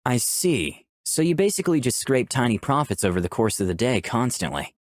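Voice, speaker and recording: Man as gentle young artist, animated pitch. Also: a little fast, dry recording, studio quality, lightly acted. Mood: interested. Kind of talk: visual novel dialogue and first-person narration.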